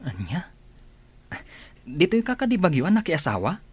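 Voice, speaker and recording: A man's voice: fairly high pitch, huge pitch swings, moderate pace, breathy edge, dry recording, full character voice. An actor with a Filipino accent playing an earnest, hardworking married man in a radio drama. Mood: wary, surprised.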